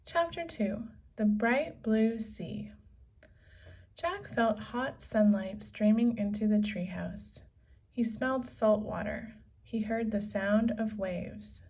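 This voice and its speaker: A woman reading a children's story aloud as narrator, with measured, deliberate phrasing. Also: on the high side, darkish tone. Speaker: storybook reader. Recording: slightly distant from the microphone.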